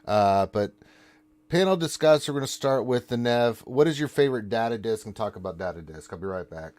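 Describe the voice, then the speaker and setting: A man hosting a live Q&A, briskly reading out viewer questions and moving the panel along. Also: lowish voice, lively intonation, darkish tone. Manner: brisk, reads questions aloud. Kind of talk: live Q&A moderation.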